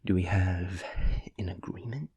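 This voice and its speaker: A man playing a chaotic, playful gremlin boyfriend in an intimate comedic audio roleplay, theatrical and rambling, with a husky, breathy, creaky voice. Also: deep voice, a little slow, warm tone, dry recording, exaggerated voice. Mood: eager planning. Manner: theatrical, rambling, mock-grandiose wordplay.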